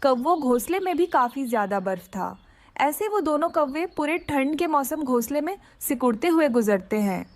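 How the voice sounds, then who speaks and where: A woman narrates a scripted children's cartoon tale. Her voice is high, with very lively, swinging intonation. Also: moderate pace, no room sound.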